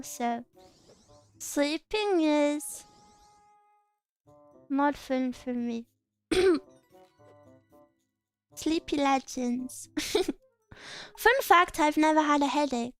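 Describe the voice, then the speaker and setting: A young woman streamer chatting casually with her viewers, self-conscious and giggly, with a scratchy throat she keeps clearing. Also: high-pitched. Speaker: VTuber streamer. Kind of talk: casual stream chat, reading chat aloud.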